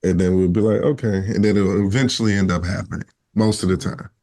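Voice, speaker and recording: A man's voice: sits low, steady tone, fast, dark tone. A man talking in a laid-back, reassuring way about his marriage on a podcast. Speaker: podcast guest, husband. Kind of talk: casual chat.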